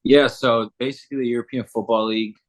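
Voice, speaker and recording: A young man in his twenties from Germany, answering interview questions in a relaxed, upbeat way with frequent filler words. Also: medium pitch, quick pace. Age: 20s. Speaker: guest, football player.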